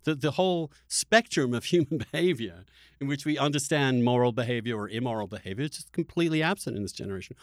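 A man's voice: mid-range voice, lively intonation, quick pace, no echo. Emphatic male podcast host, close dry sound. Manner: emphatic, rhetorical, repeats phrases for stress. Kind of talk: animated political discussion.

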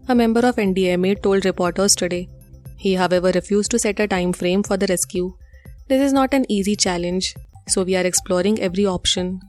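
A woman's voice: mid-range voice, a little mellow. A woman with an Indian accent presenting a scripted news bulletin. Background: music.

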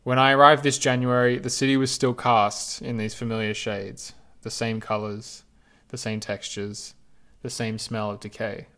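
A man reading his own reflective essay aloud in a measured, lyrical, unhurried way. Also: mid-range voice, little pitch movement, sharp tone.

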